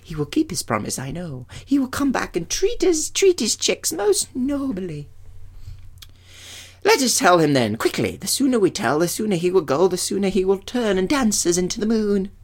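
A man voicing playful, eager young nymphs in a storybook, with breathy, lilting falsetto and gushing, repetitive speech. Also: big pitch swings, normal speed, full character voice. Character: playful, eager young nymph sisters, female, admiring and amorous.

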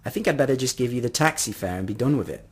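A man voicing a stern, suspicious mother in a storybook, speaking in a sharp, curt and distant manner. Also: mid-range voice, lively intonation, rapid-fire, husky. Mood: softening.

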